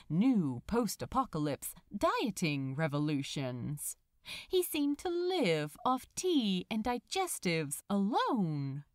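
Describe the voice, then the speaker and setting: A woman narrating a story expressively, with deliberate, dramatic phrasing. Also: mid-range voice, no room sound. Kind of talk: expressive scripted fan fiction narration.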